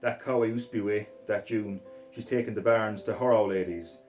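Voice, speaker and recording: A man's voice: on the low side, fairly even intonation, a little fast, a little mellow, soft background music, close to an everyday voice. A man reading aloud as a foul-mouthed, violent Scottish hard man, swearing and boastful in a Scottish accent. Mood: ranting. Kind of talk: reading a short story aloud in character. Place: sitting room floor.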